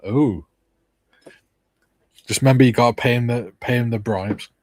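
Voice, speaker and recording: Dry husky-voiced man from Wales. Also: mid-range voice, normal speed, darkish tone, no room sound. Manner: dry, wry, reads out viewer comments. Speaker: panelist model builder. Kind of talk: casual live-stream chat.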